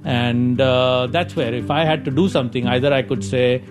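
Male executive, Indian accent, interview talk, halting and repetitive, average pitch, faint music behind. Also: fairly even intonation. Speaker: company executive being interviewed. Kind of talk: interview answers, informal explanation.

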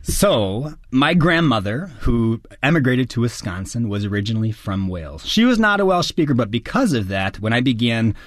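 Earnest, measured male actor, dry sound. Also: medium pitch, very animated, dry recording. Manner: earnest, measured, articulate with occasional restarts. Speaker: actor and guest. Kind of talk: conversational interview answers.

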